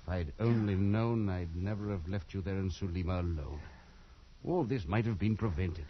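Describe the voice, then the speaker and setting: An actor playing a concerned, sensible English gentleman in an old radio drama, earnest and urging. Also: deep voice, normal speed, close to an everyday voice. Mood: pleading. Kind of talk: 1940s radio drama acting. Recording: muffled, noisy old radio recording.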